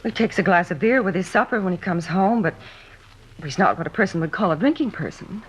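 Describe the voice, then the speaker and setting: An actress playing a prim, fretful, homely middle-aged housewife in an old radio drama, with a folksy way of talking. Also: female voice, on the low side, lively intonation, brisk, husky. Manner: folksy, indignant, ungrammatical. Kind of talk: 1950s radio drama acting. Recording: dull, noisy old radio recording.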